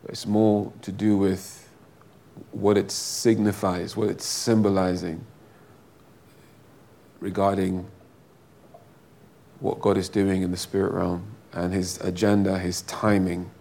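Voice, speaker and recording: A man with a big booming voice preaching a sermon and praying fervently, drawing out his phrases and repeating them for emphasis. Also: flat delivery, creaky, mellow. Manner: slow, drawn-out, fervent delivery with repeated phrases. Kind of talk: sermon with prayer.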